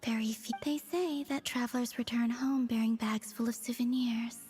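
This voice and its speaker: A gentle young woman from the moon, played by a voice actress, speaks in a soft, breathy and slightly high voice. She is wistful and measured, with a quiet deadpan edge. It is a video game character heard over music. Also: long reverb tail, close to an everyday voice.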